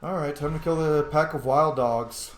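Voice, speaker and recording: A man's voice: a little high, moderate pace. Echoey man casually commentating a video game. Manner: playful, sweary, talks to game characters. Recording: roomy echo with background noise.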